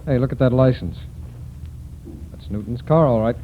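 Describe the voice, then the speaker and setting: A man's voice: mid-range voice, moderate pace, close to an everyday voice. An actor playing a businesslike federal lawman with an American accent and matter-of-fact delivery in a 1940s radio drama. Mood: alert, businesslike. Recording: muffled, noisy 1940s radio recording.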